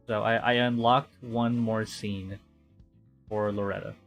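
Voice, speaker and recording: A man's voice: lowish voice, flat delivery, measured pace, faint music behind. A young man from the Philippines chatting casually while streaming a game, rambling and correcting himself as he goes. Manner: rambling, self-correcting, quietly talking to himself. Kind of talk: casual gameplay commentary.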